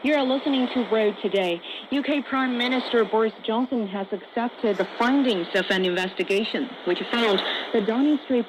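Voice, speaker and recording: A woman reads scripted news as a radio presenter, her pitch in a middle range. The broadcast comes through noisy shortwave reception, with clear static around the voice.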